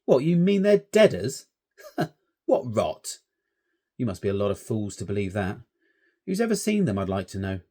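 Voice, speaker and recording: A man voicing a rude, scornful schoolboy scout who speaks loudly and sneeringly. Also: on the high side, big pitch swings, a little fast, husky, voice pushed up, heavily acted. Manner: loud, sneering and bossy.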